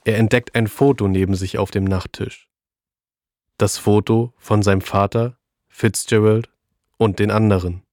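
Low-voiced male audio drama narrator. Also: steady tone, a little slow, darkish tone, dry recording, studio quality.

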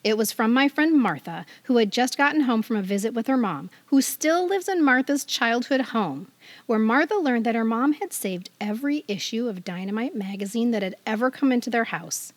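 A woman's voice: fairly high pitch, expressive, normal speed, dry recording. An American woman in her fifties co-hosting a podcast, telling stories in a casual, chatty style. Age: 50s. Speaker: podcast co-host. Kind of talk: casual podcast chat and storytelling.

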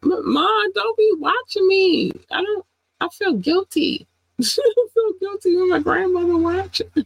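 A woman's voice: unhurried, vocal fry, low-quality recording. Bubbly, affectionate woman podcast host. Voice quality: affectionate, calls listeners "baby", jumps into high squeals when excited. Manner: chatty and rambling, laughs often. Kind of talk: casual solo podcast chat with shout-outs.

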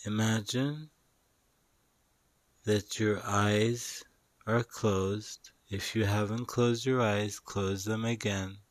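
A man with a lowish voice leads a guided meditation at a very slow pace. He gives soothing instructions in short phrases with pauses between them. The recording is dry and close, with little room sound. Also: fairly even intonation, dry recording.

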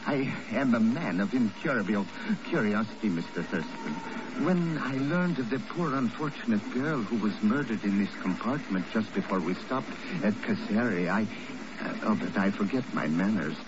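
An actor playing a courteous, florid tobacco merchant in a 1950s radio drama. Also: male voice, lowish voice, expressive, moderate pace, background noise, lightly acted. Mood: ingratiating. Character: smooth, over-polite male tobacco merchant. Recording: muffled old radio recording.

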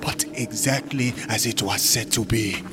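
Man acting a slow, ominous cultist manservant. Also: fairly low pitch, music in the background, lightly acted. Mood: grave, cautious. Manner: grave, incantatory, deliberate. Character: solemn, ominous manservant and acolyte of an ancient cult, a man. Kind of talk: radio horror drama acting.

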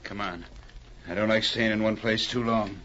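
An actor playing an impatient, gruff bank robber henchman in an old radio drama. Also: lowish voice, fairly even intonation, moderate pace, close to an everyday voice. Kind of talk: 1940s radio drama acting. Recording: very noisy, muffled old radio recording.

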